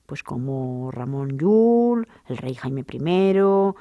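A woman's voice: extremely low pitch, animated, takes it slowly, dry recording, lo-fi sound. A woman hosting an interview in a university studio, articulate and welcoming, asking long flowing questions. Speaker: radio interview host. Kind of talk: radio interview.

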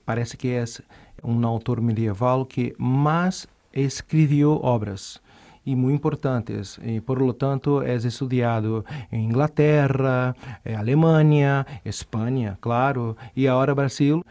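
Slow, mellow Brazilian man. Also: medium pitch. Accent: Brazilian Portuguese, Rio de Janeiro. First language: Portuguese. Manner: thoughtful, hesitant, with fillers and repeated words. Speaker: university art history professor. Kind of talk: radio interview guest.

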